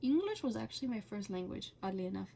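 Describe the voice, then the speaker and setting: Woman rambling while she colors, creaky voice, lots of ums, faint hiss in the background. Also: medium pitch, sing-song, mellow. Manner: rambling, halting, many fillers and laughs. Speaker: channel host. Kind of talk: casual chat while coloring.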